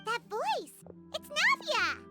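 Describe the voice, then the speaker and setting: Squeaky, very high little fairy girl. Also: wildly swooping pitch, takes it slowly, exaggerated voice. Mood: excited. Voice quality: squeaky, childlike. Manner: refers to herself in third person, exclamatory. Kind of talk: animated video game character dialogue. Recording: music behind the voice.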